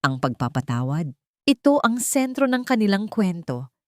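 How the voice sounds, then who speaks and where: A woman narrating a sentimental love story in measured, dramatic short phrases. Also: medium pitch, very animated, darkish tone, no echo, studio quality.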